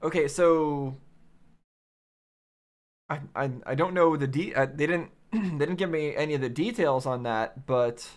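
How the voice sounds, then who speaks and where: A man giving casual reaction commentary, haltingly thinking aloud as a video host. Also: on the high side.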